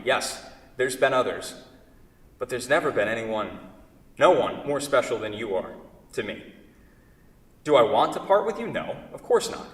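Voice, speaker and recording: A man's voice: mid-range voice, natural voice. A man in a staged play reading, acting a wordy, fretful furniture craftsman in love with his own creation, often correcting himself. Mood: anguished, pleading. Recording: echoey hall, far from the mic.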